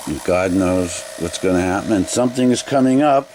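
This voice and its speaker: A man's voice: low-pitched, fairly quick, darkish tone, a little hiss or hum. A man casually demonstrating old equipment, thinking aloud in a tentative, unscripted way as he goes. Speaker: demonstrator of vintage video equipment.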